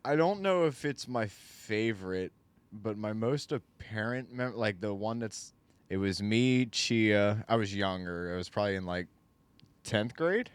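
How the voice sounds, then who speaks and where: A man's voice: lowish voice, lively intonation, measured pace, smooth, no echo. A young man co-hosting a casual podcast, rambling and hesitant as he recalls a memory. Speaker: podcast co-host.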